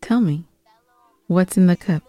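A woman's voice: lowish voice, unhurried, dark tone, no echo. A woman co-hosting a podcast in casual conversation, speaking in a direct, insistent way. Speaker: podcast co-host. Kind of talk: casual banter and chat with co-host.

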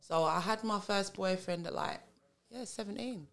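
A young adult woman from England with a London accent, a podcast guest talking candidly and laughing through animated stories. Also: medium pitch, normal speed, gravelly, a loud crowd. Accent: London English. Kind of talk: casual chat.

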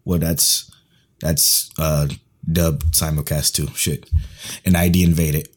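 A man co-hosting a casual podcast, laid-back and slangy, his voice going hoarse. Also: a bass voice, level tone, slow. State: losing his voice. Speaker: podcast co-host.

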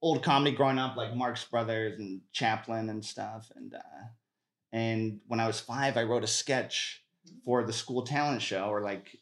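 Guy on a podcast, roomy echo, kinda halting and jokey, just chatting. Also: fairly low pitch. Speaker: podcast guest, comedian. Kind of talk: casual chat. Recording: roomy, echoey room.

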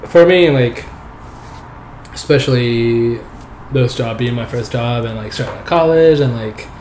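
A man's voice: medium pitch, measured pace. Laid-back young man in an echoey room. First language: not English. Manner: relaxed, rambling, laughs often, many "like"s. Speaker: software developer guest. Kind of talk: casual interview chat. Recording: echoey room with background noise.

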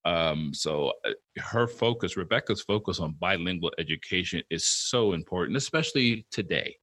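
Bright-toned man co-hosting a chat show. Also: deep voice, expressive, moderate pace, husky. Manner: rambling, storytelling, many restarts. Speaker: podcast co-host. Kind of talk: casual interview chat.

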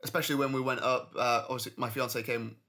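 A man's voice: medium pitch, flat delivery, quick pace, bright, forceful tone. A man from Yorkshire co-hosting a podcast in casual chat, cheerful and easygoing, laughing often. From Yorkshire, England. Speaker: podcast co-host.

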